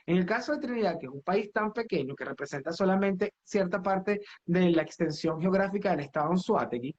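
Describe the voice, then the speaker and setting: A man's voice: sits high, expressive, brisk, darkish tone, dull audio. A man, a professor, giving earnest answers in an interview, explaining with examples and checking in with the listener. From Venezuela. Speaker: guest professor.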